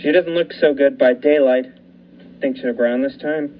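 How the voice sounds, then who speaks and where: Suspenseful lighthouse-keeper narrator, played by a man, measured delivery, mid voice; dull muffled recording. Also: mid-range voice, steady tone, natural voice. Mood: uneasy. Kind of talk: student radio play narration. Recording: muffled with faint hiss.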